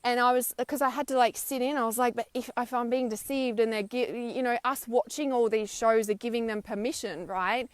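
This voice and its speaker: Chatty woman in her thirties, crisp voice. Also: on the high side, steady tone, a little fast, hardly any pauses, no room sound. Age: 30s. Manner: chatty, emphatic, frequent "right?" and "okay?" tags, laughs often. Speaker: spiritual vlogger. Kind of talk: casual livestream monologue. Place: outdoors, wind audible.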